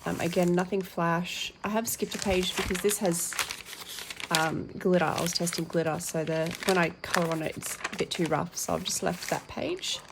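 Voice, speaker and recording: A woman with a croaky, worn-out voice talks casually through an unboxing at her table, filling pauses with ums and reading labels aloud. Her tone has a gravelly edge, with a faint hiss of background noise. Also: on the low side, steady tone. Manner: chatty with frequent ums, reads packaging aloud. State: croaky, tired voice. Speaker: colouring channel host.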